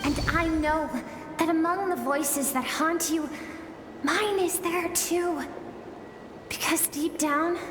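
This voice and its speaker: A high-voiced young girl from a video game, gentle and earnest with a sorrowful streak. She speaks slowly, and music plays clearly behind her. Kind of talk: scripted game voice acting. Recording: music under the voice.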